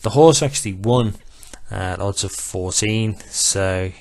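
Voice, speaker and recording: Low-voiced man, self-confessed monotone. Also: gravelly. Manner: self-described monotone, measured with filler pauses. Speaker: betting software demonstrator. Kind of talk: screen-recorded tutorial walkthrough.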